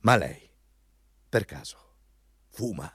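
An actor playing a bullying, pompous company boss in a radio drama, lecturing and interrogating an underling about the rules. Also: male voice, on the low side, animated, takes it slowly, a bit bright, slightly rough, heavily acted. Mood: impatient.

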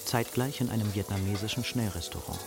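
A man's voice: on the low side, fairly quick, vocal fry. A man playing a sober, detached narrator, reading scripted present-tense radio drama narration.

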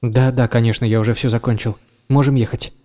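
An actor playing a restless, self-doubting writer in an audio drama, speaking in a wistful, courteous manner. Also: male voice, medium pitch, fairly even intonation, a little fast, mellow, close to an everyday voice. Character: weary, restless, self-doubting male writer set on escape. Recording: clear background noise, some clicks.

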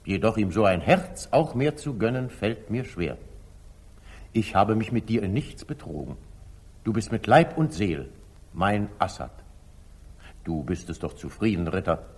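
Noble older sultan, husky, big swings in pitch, grand old radio drama delivery, stately and indulgent. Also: male voice, big pitch swings, measured pace, long pauses, full character voice. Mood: gracious, musing. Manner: stately, declamatory, swinging from soft to emphatic. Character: magnanimous older sultan, commanding yet indulgent, ruler of Jerusalem. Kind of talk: 1940s German radio drama acting, classical stage verse. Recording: slightly muffled 1940s radio studio recording.

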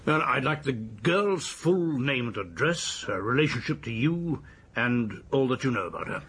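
Casting: polite, probing English detective in radio drama, heavily rasping voice with animated delivery. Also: male voice, medium pitch, moderate pace. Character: courteous, methodical police detective questioning a witness.

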